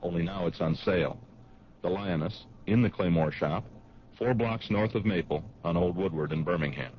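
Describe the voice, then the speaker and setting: Low-voiced male radio ad announcer. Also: normal speed. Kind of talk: scripted radio advertisement read. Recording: muffled, noisy old radio broadcast recording.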